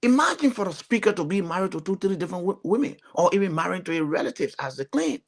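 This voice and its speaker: A man's voice: sits high, expressive, quick pace. A man from Liberia with a Liberian accent, giving earnest, emphatic political commentary as a talk show panelist, often repeating phrases.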